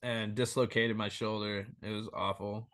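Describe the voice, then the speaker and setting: A man in his thirties joining a podcast over a remote call as a guest, talking in an animated, rambling way and swearing freely. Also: on the low side, normal speed, no room sound, clean studio sound. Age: 30s. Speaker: band member guest. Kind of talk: casual interview chat.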